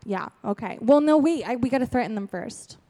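An excitable, bossy spoiled girl, played by a woman, with a high but mellow voice. She gushes and schemes in casual teen-like chatter for a radio comedy. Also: a little fast. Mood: scheming. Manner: gushing, casual teen-like filler-heavy talk. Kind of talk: improvised radio comedy acting.

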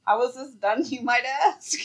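A woman's voice: high-pitched, measured pace. A woman co-hosting a podcast, telling a story in casual chat, with lots of "like" fillers and frequent laughter. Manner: chatty, laughs often, many "like" fillers. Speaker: podcast co-host.

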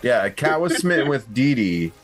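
A man's voice: mid-range voice, big pitch swings, moderate pace, over loud music. A man playing a tabletop roleplaying game, chatting casually and swearing freely.

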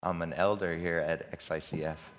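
A man from America, a church elder, preaching a sermon with a raspy, hoarse voice and earnest, searching pauses. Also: deep voice, fairly even intonation. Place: church service. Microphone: handheld microphone.

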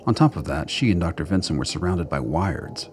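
A man's voice: deep voice, expressive, fairly quick, darkish tone, slight background noise. A man narrating a scripted radio drama as a storyteller.

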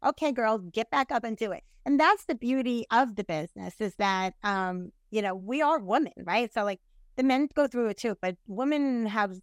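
A woman's voice: a little high, normal speed, no echo. A middle-aged woman, a real estate agent, talking in a casual interview over a video call. She talks with energy, laughs often and keeps saying "you know" and "right".

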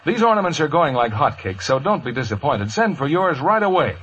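Lively, high-pitched 1950s American radio announcer. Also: male voice, a little fast, slightly rough, highs cut off. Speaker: commercial announcer. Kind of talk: 1950s radio advertising read. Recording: vintage 1950s radio broadcast.

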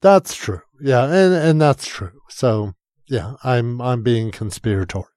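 A man's voice: medium pitch, expressive, unhurried, darkish tone, no echo, clean studio sound. A man co-hosting a podcast in a casual political chat, exasperated, swearing freely and often trailing off before starting again. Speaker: podcast co-host.

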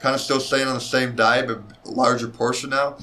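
A mid-pitched man, a professional fighter, sits for a casual interview. He talks in a loose, rambling way, circling back and restarting, easygoing and unhurried. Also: level tone, bright, forceful tone, a little hiss or hum. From Mexican heritage. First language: Spanish speaker. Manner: laid-back, rambling with many likes and restarts. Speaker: mixed martial arts fighter, guest.

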